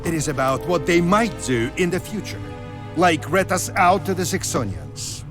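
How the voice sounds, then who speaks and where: An actor playing a ruthless, cynical military spymaster in a video game, with a deliberate, menacing and theatrical delivery. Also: male voice, a little high, sing-song, music in the background. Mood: cold, commanding. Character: ruthless scheming male military spymaster, cynical about war. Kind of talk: dramatic video game cutscene acting.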